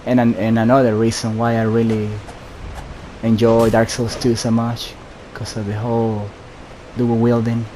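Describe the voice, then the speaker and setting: A man's voice: mid-range voice, moderate pace. A man giving casual, rambling gameplay commentary in an informal way. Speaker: gameplay host. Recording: steady background hiss.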